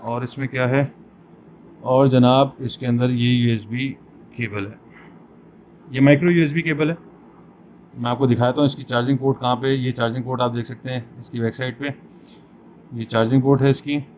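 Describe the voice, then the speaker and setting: Guy doing a chatty unboxing review, mid pitch, kinda muffled sound, bit of noise behind. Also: steady tone, normal speed, long pauses, darkish tone. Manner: conversational, mixes English terms into speech. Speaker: product reviewer, host. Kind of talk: unboxing and review talk, explanatory. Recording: dull lo-fi sound, faint background noise.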